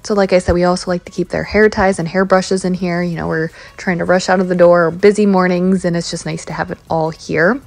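A woman in her thirties talks through her cleaning and decluttering in a loose, chatty voice-over, full of "um" and "you know". Her voice sits fairly low for a woman. The recording is dry and close, with almost no room sound. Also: fairly quick, gravelly, hardly any pauses, dry recording, faint music behind. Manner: chatty, relaxed, with many fillers like "um" and "you know". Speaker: home cleaning vlogger. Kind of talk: casual voice-over narration. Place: home kitchen.

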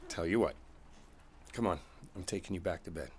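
Man acting as a gentle, low-voiced boyfriend. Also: natural voice. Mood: tender, reassuring. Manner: soft, unhurried, soothing. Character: gentle, reassuring musician boyfriend coaxing partner to sleep. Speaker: roleplay audio performer. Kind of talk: intimate ASMR boyfriend roleplay. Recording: steady background noise, rain sounds. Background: rain ambience.

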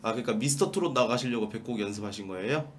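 A man's voice: medium pitch, very fast, slight background noise. Dry, mock-stern young man streamer. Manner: stern, dry, teasing in stiff polite speech. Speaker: live streamer host. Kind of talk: mock-formal boss roleplay with a caller. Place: small room at a desk, indoors.

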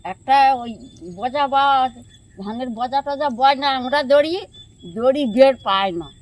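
A woman's voice: sits high, unhurried, low-quality recording. An older woman with a rural southern Bengal accent, telling stories casually and colloquially in an outdoor interview, acting out the dialogue. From Sundarbans, India. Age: older, grandmother. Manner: animated, colloquial storyteller who acts out dialogue. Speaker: interviewee, villager who fishes and catches crabs. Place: outdoors by a riverbank village.

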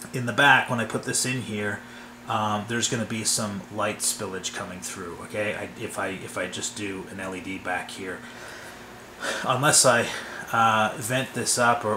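A man's voice: fairly low pitch, echoey room, noisy background. A man giving a casual hands-on tutorial at a workbench, rambling and often checking in with "okay?". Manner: relaxed, rambling, frequent "okay?" check-ins. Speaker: hobby model builder. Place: workbench in a home workshop.

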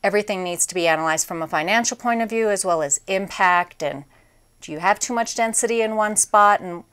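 A woman in her forties, a town mayor, answering interview questions in a measured, upbeat way in a small studio. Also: medium pitch, fairly bright tone, smooth. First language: English. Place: small TV studio set, indoors.

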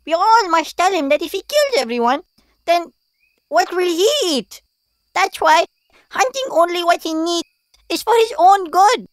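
A woman's voice: very high voice, expressive, unhurried, no room sound. A woman voicing a small, nervous but clever male hare in a cartoon, stammering and deferential. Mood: earnest. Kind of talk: cartoon voice acting.

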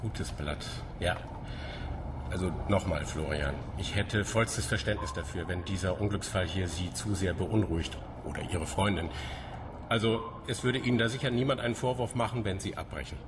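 Radio play: measured, courteous senior researcher played by a man, deep-leaning voice, repeats himself, clearly noisy ambience. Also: lightly acted. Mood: placating. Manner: formal, hesitant, repeating himself. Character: measured senior scientist at a marine institute, evasively courteous. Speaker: actor in a radio drama. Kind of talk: naturalistic German radio play acting.

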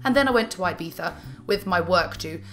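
A woman in her thirties, slightly croaky, chatting enthusiastically to camera about her favourite makeup from a small room at home. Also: on the low side, expressive, fairly quick, a bit bright, faint music behind. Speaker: beauty vlogger. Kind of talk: casual favourites haul chat. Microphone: camera mic, close framing.

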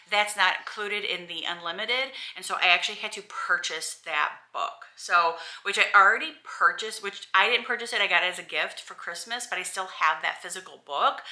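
A woman's voice: lowish voice, bright, forceful tone. A woman in her forties vlogging casually from her bedroom at home, chatty and rambling with lots of ums and restarts. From Alabama, USA. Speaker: lifestyle vlogger. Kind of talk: casual chat to camera.

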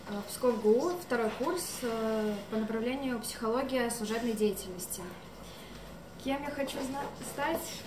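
A young woman with a slightly high voice gives an informal introduction, hesitant and thinking as she goes. She is some way from the mic in a noisy, echoing cafe. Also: recorded from a distance. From Leningrad Oblast, Russia. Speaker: student introducing herself at a meeting. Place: indoor cafe, seated at table, camera mic at a distance.

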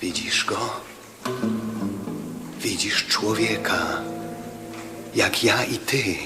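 An actor playing a fervent apostle preaching solemnly to a Roman governor in a radio drama. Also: male voice, fairly low pitch, drawn-out words. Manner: impassioned, solemn, declamatory. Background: music.

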